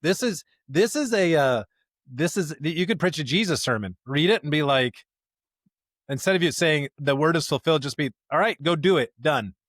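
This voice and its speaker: A man's voice: on the high side, animated, moderate pace, fairly bright tone, no echo. A man hosting a podcast in a conversational, informal and emphatic way, like a pastor talking scripture with a colleague.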